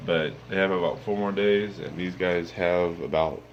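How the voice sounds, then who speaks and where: A man's voice: low voice, normal speed, dull audio, slight background noise. A young man with a sleepy voice, rambling casually with lots of ums as he shows his fish tanks at home, filming on a handheld phone. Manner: relaxed, rambling with many ums. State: sleepy, just woke up. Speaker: aquarium vlogger. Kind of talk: casual walkthrough vlog. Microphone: handheld phone camera.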